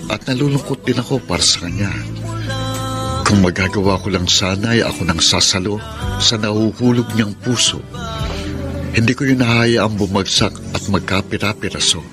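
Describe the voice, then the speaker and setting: A man's voice: on the low side, moderate pace, music in the background, lightly acted. An actor playing a tender, melancholy man secretly in love, narrating a letter earnestly and poetically in a radio drama. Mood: sorrowful, tender.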